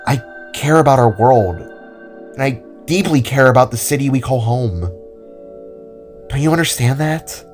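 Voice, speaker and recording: A man's voice: mid-range voice, unhurried, pauses often, a little mellow, natural voice. Young heroine played by a man, hopeful, heartfelt, hesitant audio-drama delivery with a music bed. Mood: hopeful, determined. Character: earnest, idealistic young woman with powers. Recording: music under the voice.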